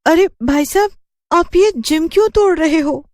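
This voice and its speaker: A woman's voice: very high voice, a little slow, dry recording, clean studio sound, pitched above the performer's own voice. A woman voicing a distressed, lovesick young daughter in a horror cartoon, stammering and pleading. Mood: puzzled. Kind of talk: Hindi horror cartoon dubbing.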